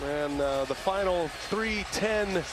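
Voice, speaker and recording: A man's voice: high-pitched, slow pace. Male play-by-play announcer amid heavy arena noise. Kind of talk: live game commentary. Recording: very noisy relayed game broadcast. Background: loud arena ambience, bouncing ball.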